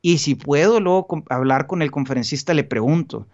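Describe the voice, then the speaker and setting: A man's voice: a little high, lively intonation, a little fast, darkish tone, muffled recording. A man in casual podcast conversation as a guest, talking earnestly and rambling with frequent restarts and fillers. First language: Spanish.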